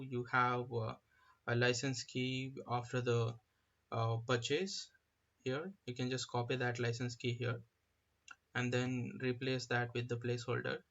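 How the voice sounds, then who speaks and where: Man giving halting software walkthrough, very animated pitch. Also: lo-fi sound. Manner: halting, hesitant, step-by-step instruction. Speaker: software tutorial presenter. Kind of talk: informal screen-share software walkthrough.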